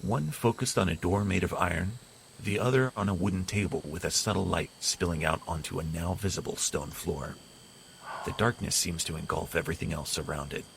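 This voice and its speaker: A man narrating a haunted-asylum audio drama in the second person, with a steady, descriptive delivery. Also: sits low, a little fast, a little hiss or hum, poor audio. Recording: clear music under the voice.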